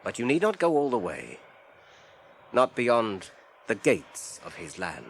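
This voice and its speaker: An actor playing an earnest, firm, burdened hobbit in a radio drama, speaking in a measured, commanding way. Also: male voice, mid-range voice, wildly swooping pitch. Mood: stern, calm. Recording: old radio drama, faint hiss.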